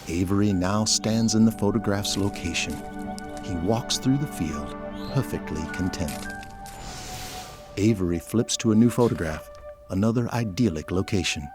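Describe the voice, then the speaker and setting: Low-pitched male narrator. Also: moderate pace, over music. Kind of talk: scripted audio drama narration.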